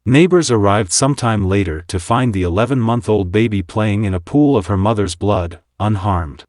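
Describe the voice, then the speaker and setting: A man's voice: fairly low pitch, moderate pace, a little mellow, no echo, clean studio sound. A man narrating local history from a script, telling events in order as a documentary narrator.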